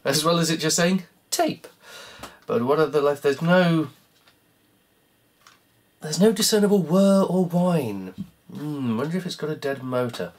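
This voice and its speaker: A croaky voice muddles through a repair job, mocking itself in a loose, rambling running commentary. The pitch leaps up and down all the time, and there is a faint hiss underneath. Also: darkish tone. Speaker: hobbyist repair vlogger. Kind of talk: thinking aloud while taking apart a cassette player.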